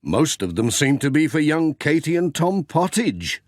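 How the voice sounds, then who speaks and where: A cheery, friendly country postman, played by a man narrating a story. His voice is slightly husky, unhurried and lively in pitch. The sound is dry and close. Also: no room sound. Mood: cheerful. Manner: cheery, warm storybook dialogue.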